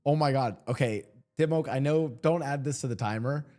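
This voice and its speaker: A man's voice: mid-range voice, warm tone. Joking man in his thirties. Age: 30s. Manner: joking, rambling, quick to laugh. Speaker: podcast host. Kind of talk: casual podcast chat.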